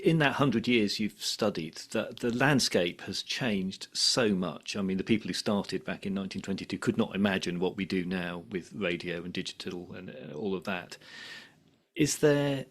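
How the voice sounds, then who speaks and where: A man hosting a conversational interview as a podcast interviewer. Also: lowish voice.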